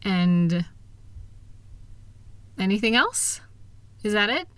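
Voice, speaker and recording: A woman playing a kind, capable wife who gently teases and reassures a partner in an intimate comfort audio roleplay. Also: mid-range voice, sing-song, extremely slow, no echo. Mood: soothing, reassuring. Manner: reassuring, gentle, teasing, affectionate. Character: kind, upbeat, capable wife soothing her insecure partner.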